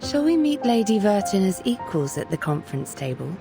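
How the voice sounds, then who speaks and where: An actress playing an imperious cult leader in a video game, speaking in archaic, formal and theatrical phrases with courtly menace. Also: female voice, medium pitch, very animated, fairly quick, vocal fry. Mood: smooth courtly menace. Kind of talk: dramatic video game acting. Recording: music under the voice.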